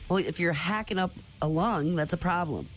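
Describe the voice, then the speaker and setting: A woman co-hosting a morning radio show, teasing and skeptical in quick back-and-forth banter. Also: lowish voice, soft background music. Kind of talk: casual on-air banter.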